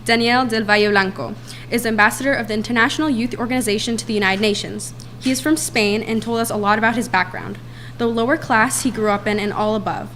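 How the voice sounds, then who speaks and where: A woman's voice: a little high, fairly bright tone, a little hiss or hum. A young woman, a student, reading a prepared report aloud to a town council in earnest. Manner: earnest, reads quickly from notes. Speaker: student speaker.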